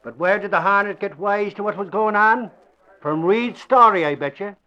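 An actor playing a brash, boastful, folksy newspaper reporter in a blustering old radio drama style. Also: male voice, high-pitched, normal speed, bright, forceful tone. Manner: blustering, colloquial. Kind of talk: 1940s radio acting. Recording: dull lo-fi old radio recording.

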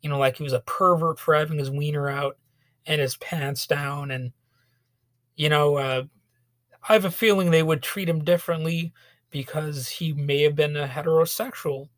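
A man with a fairly high voice rants sarcastically through a solo podcast, stumbling over fillers and restarts as he goes. His voice is a touch hoarse from a cold. The sound is close and dry, with no room around it. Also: fairly even intonation, normal speed, dry recording. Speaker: podcast host. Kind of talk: solo opinion podcast monologue.

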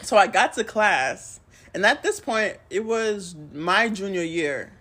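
Haitian-born woman in her twenties, joking co-host, slightly breathy voice. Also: mid-range voice, lively intonation, takes it slowly, a bit bright. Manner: laid-back, joking. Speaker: podcast co-host. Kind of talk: casual chat.

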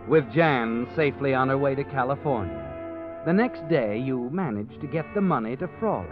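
An actor playing a grave second-person narrator in an old radio drama, over music, in a muffled recording. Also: male voice, medium pitch, expressive, lightly acted. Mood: ominous. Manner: measured, ominous storyteller addressing the hero. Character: grave male narrator speaking to the hero.

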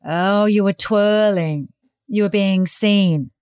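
A woman hosting an interview podcast, animated and teasing as she talks with her guest. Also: mid-range voice, slow, smooth, dry recording, clean studio sound. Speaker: podcast host.

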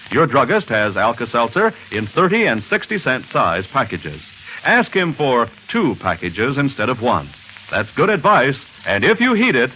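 A man reading an old-time radio advertisement as an earnest, advisory announcer. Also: medium pitch. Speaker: commercial announcer. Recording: dull, hissy old radio recording.

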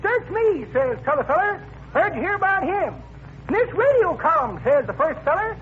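Squeaky high-pitched folksy old-timer. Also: male voice, sing-song, moderate pace. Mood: jovial, teasing. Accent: rural American. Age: older. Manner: folksy, drawling storyteller. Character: chipper wheezy old-timer, folksy joking old man. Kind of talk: 1940s radio comedy acting. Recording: muffled, noisy vintage radio recording.

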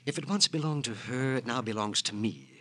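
An actor playing a petty, penny-pinching husband in an old radio drama, fussy, indignant and self-pitying. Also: male voice, mid-range voice, brisk, sharp tone, lightly acted. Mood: aggrieved, self-pitying. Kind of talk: 1940s-50s radio drama acting. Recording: noisy old radio recording.

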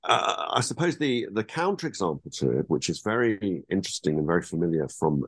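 A man's voice: sits low, sing-song, a little fast, husky, dry recording. A man being interviewed as an author, speaking thoughtfully and hesitantly with frequent restarts. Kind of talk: academic interview.

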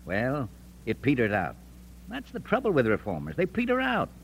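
Amiable eccentric frontier editor, folksy and expressive, 1940s radio play with light background noise. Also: male voice, mid-range voice, moderate pace, gravelly. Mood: wry, resolute. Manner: folksy, easygoing, drawling old-West turns of phrase. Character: amiable, eccentric, stubborn crusading newspaperman in 1850s San Francisco. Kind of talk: 1940s American radio drama acting. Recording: noisy old radio broadcast recording.

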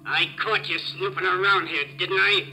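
A man playing a gloating American gangster killer with a gravelly voice in a 1940s radio thriller. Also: high-pitched, fairly even intonation, normal speed, bright, forceful tone. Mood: gloating menace. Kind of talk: 1940s radio crime drama acting. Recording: faintly noisy old radio broadcast.

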